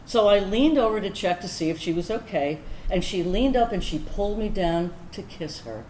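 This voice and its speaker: Actress as candid woman veteran, animated, echoey room. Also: mid-range voice, close to an everyday voice. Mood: tender, amused. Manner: confessional, conversational storytelling, wry, with deliberate pauses. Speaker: actor in a staged reading. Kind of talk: dramatic first-person monologue in a staged reading. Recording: roomy echoing stage recording, background noise.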